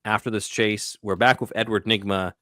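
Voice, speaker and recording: A man's voice: on the low side, fairly even intonation, moderate pace, bright, forceful tone, no echo, studio quality. A man hosting a podcast, talking casually through a film scene by scene. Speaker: podcast host.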